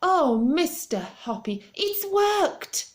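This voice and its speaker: A woman voicing an excitable older lady neighbor in a storybook reading, with a shrill, breathless, gushing manner. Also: slow pace, gravelly. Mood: overjoyed.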